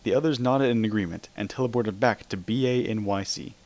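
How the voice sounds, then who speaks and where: A man with a low voice reads comic fan fiction aloud as its narrator. The style is that of a dramatic story reading. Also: brisk, darkish tone.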